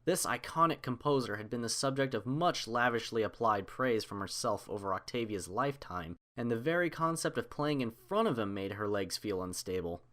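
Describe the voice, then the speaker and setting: A man's voice: mid-range voice, expressive, brisk, few pauses, fairly bright tone. A man reading scripted fiction as an audiobook narrator.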